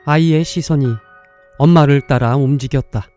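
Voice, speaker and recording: A man narrating a scripted dramatic story in a measured, literary way, pausing between short phrases. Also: on the high side, fairly even intonation, mellow, faint music behind.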